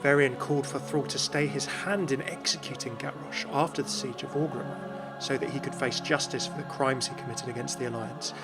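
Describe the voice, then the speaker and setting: A man's voice: medium pitch, steady tone, a little fast, over loud music. A man giving scripted explainer narration as the host of a video game lore video.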